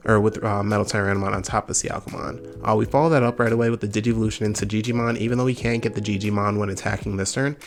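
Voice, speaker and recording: Fast-talking young man with a cold. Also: on the low side, fairly even intonation, faint music behind. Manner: fast, upbeat, casual gamer talk. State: summer cold, a little nasal. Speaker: card game channel host. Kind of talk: gameplay commentary.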